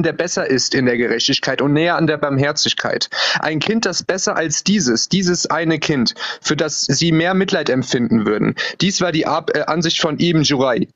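A man reading out religious commentary during a live debate, measured and steady. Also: on the high side, highs cut off. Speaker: debate participant.

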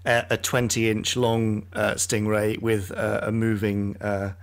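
Male podcast host, friendly, teasing, hesitant fillers, lowish voice. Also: flat delivery, unhurried. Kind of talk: casual interview.

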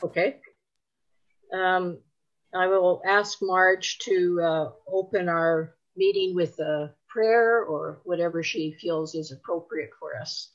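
A woman moderating an online meeting, speaking slowly and politely with hesitations. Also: lowish voice, steady tone. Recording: dull video-call audio.

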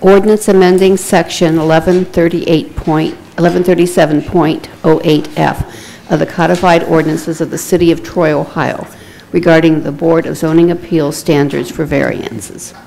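A woman clerk reads council minutes in a formal, matter-of-fact tone. Her voice is low, with a gravelly, mellow edge. A faint room hum runs beneath. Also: fairly even intonation, moderate pace, rough recording. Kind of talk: reading ordinances and minutes. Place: council chamber.

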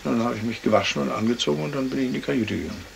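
An actor playing a polite, cooperative gentleman in a 1970s German radio crime drama, measured and somewhat hesitant. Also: mid-range voice, vocal fry, slight background noise. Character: cooperative gentleman witness, member of a yacht party.

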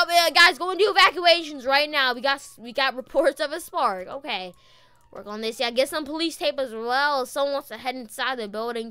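A speaker giving excited, slangy gameplay commentary and urgent police roleplay into a close microphone. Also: sits high, dry recording. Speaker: gaming video host playing a police officer.

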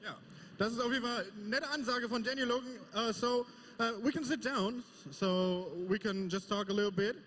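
A man's voice: very high voice, moderate pace, a bit bright, big-room sound. A man co-hosting a convention stage interview, speaking hesitantly with fillers. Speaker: stage host.

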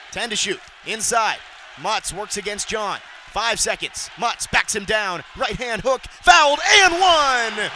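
A young man calling radio basketball play-by-play, rattling off plays and shouting on big moments. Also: sits high, a little hiss or hum. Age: young adult. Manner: rapid excited calls, shouting on big plays. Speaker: play-by-play announcer.

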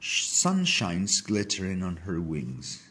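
A man reading a children's story aloud in the first person, with deliberate, dramatic phrasing. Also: sits low, huge pitch swings, sharp tone, slightly rough. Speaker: story reader.

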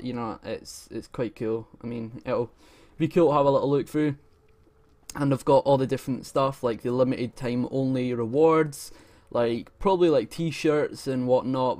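A man's voice: mid-range voice, a little mellow. A man, giving casual, enthusiastic gaming commentary in a sweary, informal way. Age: 20s. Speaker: gaming video creator. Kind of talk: casual gaming news commentary over gameplay. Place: indoor room at home. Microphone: desk microphone close to mouth.

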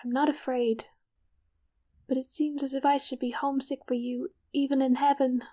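A frail young woman of nineteen in an audio drama. Her voice is high and very even, gentle and resigned, with halting phrases. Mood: weary, resigned.

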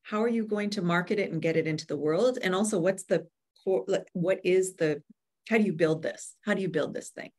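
A woman in her forties with a fairly low voice talks as a guest expert on an online webinar. Her delivery is measured and instructive, and she is speaking over a video call from a room indoors. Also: darkish tone. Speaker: guest expert, book publisher. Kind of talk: explanatory webinar talk. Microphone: video call microphone.

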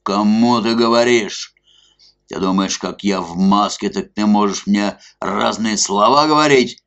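A rowdy, coarse drunken merchant bellows and bullies in a thick, muffled bass, as a man puts him on. He swaggers through folksy insults, loud and low. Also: big pitch swings, a little slow, vocal fry, full character voice. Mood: bullying. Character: drunken boorish masked merchant, middle-aged man.

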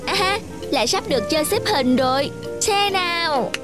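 A performer voicing a sweet, earnest, excitable preschool boy with childlike eagerness in an animated children's tale. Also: very high voice, moderate pace, music in the background. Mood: eager.

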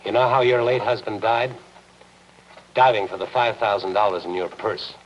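A man's voice: lowish voice, steady tone, normal speed, highs cut off, natural voice. An actor in his forties playing a persistent, world-weary city reporter in a 1950s noir television drama, dry and sardonic. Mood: wry, world-weary. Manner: dry, sardonic, hard-boiled. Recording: noisy 1950s film soundtrack.

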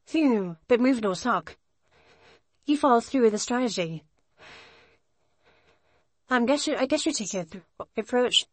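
Woman playing a sweet, high-voiced anime schoolgirl. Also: expressive, a little slow, pauses often, no room sound. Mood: playful. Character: sweet, friendly schoolgirl chess partner. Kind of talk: anime visual novel character acting.

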